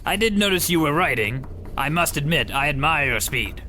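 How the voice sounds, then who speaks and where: A man's voice: a little high, animated, moderate pace, sharp tone, a little hiss or hum. A man playing an earnest, slightly dim polar sea captain, narrating in a radio drama parody. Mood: earnest, puzzled. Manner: grave storytelling undercut by chatty asides.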